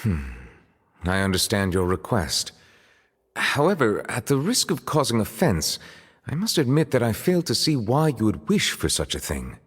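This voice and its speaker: An actor playing a dignified, reserved high official in a video game, with a grave, courteous and formal manner. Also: male voice, huge pitch swings, normal speed, husky, in a large hall, exaggerated voice. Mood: puzzled, formal.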